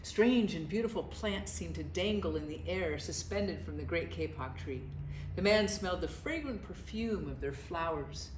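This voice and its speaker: A woman reading a children's story aloud in an expressive, narrated style. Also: lowish voice, normal speed. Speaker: storybook reader. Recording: echoey room with faint noise.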